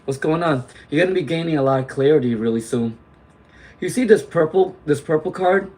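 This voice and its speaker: A man doing a live tarot reading, chatty and reassuring, clearing his throat often as he loses his voice to laryngitis. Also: fairly high pitch, brisk, darkish tone, slight background noise. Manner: chatty, reassuring, frequent "okay?" and "all right?" tags. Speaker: tarot reader; teacher.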